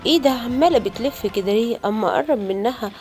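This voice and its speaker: A high, rapid young lion cub played by a woman speaks in Egyptian Arabic. The delivery is childlike and exclamatory, as in a children's cartoon story, with music underneath. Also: a little mellow. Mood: curious. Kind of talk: animated children's story, acting all characters.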